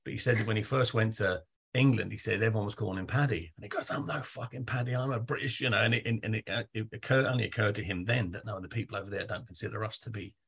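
Fast, gravelly-voiced male interviewer. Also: lowish voice, a bit bright. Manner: loose and chatty, swears freely. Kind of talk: casual conversational interview.

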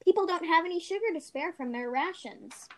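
A woman's voice: very high-pitched, expressive, a little fast. A woman playing a spirited, dramatic schoolgirl in an amateur radio-style play. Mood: cheerful, wistful. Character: Molly, indignant wartime American schoolgirl, vengeful, dramatic. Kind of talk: amateur reader's theater podcast.

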